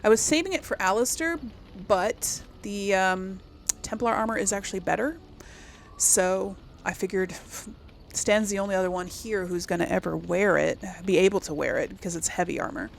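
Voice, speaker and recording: A woman with a creaky, gravelly edge chats casually as a gaming video host. She thinks aloud and corrects herself as she goes, at a middling pitch. There is noise in the background of the recording. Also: normal speed. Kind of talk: casual chat, let's play commentary.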